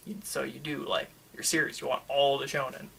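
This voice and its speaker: ASMR store worker, mid-pitched and creaky, halting casual chat, enthusiastic fan, slight ambient noise. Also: little pitch movement, fairly bright tone, rough recording. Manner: rambling, halting, enthusiastic about anime. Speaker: manga store worker in a roleplay. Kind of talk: ASMR roleplay, casual customer-service chat.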